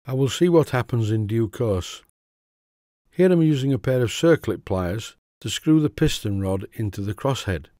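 A middle-aged man with a Yorkshire accent, giving a steady, methodical workshop tutorial voice-over about model engine repair. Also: medium pitch, moderate pace, no echo, studio quality. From Yorkshire, England. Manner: steady, methodical, plain-spoken instruction. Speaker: model engineering channel host.

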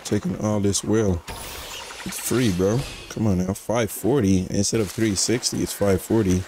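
A young man with a raspy, just-woken-up voice, giving laid-back, slangy commentary while playing a video game. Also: lowish voice, steady tone, unhurried, noisy background. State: just woke up. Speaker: gaming channel host. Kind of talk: casual gameplay commentary.

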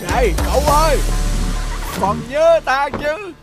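Kindly, careful old peasant, animated tale: high, unhurried, gentle counsel. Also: male voice, music in the background, full character voice. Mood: warm, humble. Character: kindly, cautious, poor old father. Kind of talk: animated fairy-tale voice acting.

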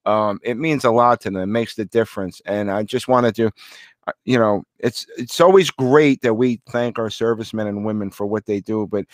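Male host, earnest and halting, restarts a lot, dry close sound on a video call. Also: medium pitch, dry recording. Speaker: radio show host. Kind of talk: casual video call interview. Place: home room indoors.

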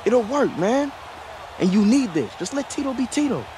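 An actor playing a cocky, pleading young American football receiver in a video game cutscene. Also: male voice, very high-pitched, big pitch swings, moderate pace, background noise. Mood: urgent, coaxing. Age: young adult.

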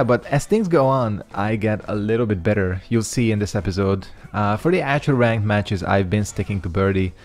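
A young man whose first language is Hungarian, casually rambling over his own fighting game matches with loose slang and lots of fillers. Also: fairly low pitch. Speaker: gaming channel host. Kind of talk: casual commentary over gameplay.

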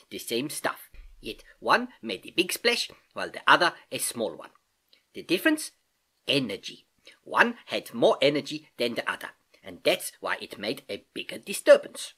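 Narrator doing old jolly uncle from a kids science story, excited explainer, very up and down, bit husky. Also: medium pitch, moderate pace, heavily acted. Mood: eager explaining. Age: older. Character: elderly uncle, jovial, eager explainer of science.

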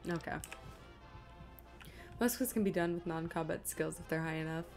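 A woman's voice: sits low, normal speed, darkish tone, soft background music. A woman giving casual live commentary as she streams a video game, muttering to herself in an exasperated, self-deprecating way.

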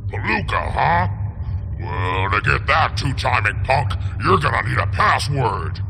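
An actor voicing a sly, spiteful lowlife informant creature in a western video game. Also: male voice, very animated, unhurried, vocal fry, noisy background. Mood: suspicious, scheming.

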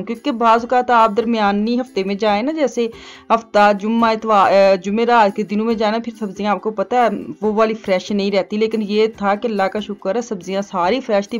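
A woman's voice: mid-range voice, a little mellow, dull audio. A middle-aged woman, rambling homely voice-over for her shopping vlog. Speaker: vlogger. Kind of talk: chatty voice-over narration. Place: home, recorded as voice-over.

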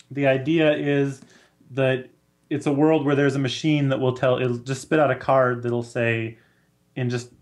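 Man explaining haltingly, medium pitch. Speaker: guest. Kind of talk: casual book recommendation chat. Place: small room at home, on a video call.

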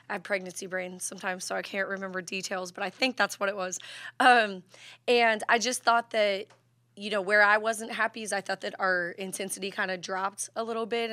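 Woman coach speaking in an even, steady tone. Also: medium pitch, no echo. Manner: steady, even delivery with many fillers and restarts. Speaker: head basketball coach. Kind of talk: postgame press conference answers. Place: press conference room at a table. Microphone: table microphone.

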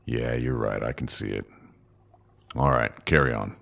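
A gruff, brooding man in command played by an actor in a sci-fi audio drama. His voice is extremely deep and full of gravel. He speaks curtly, muttering his orders. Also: steady tone, measured pace, fairly bright tone, exaggerated voice. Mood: brooding, irritable.

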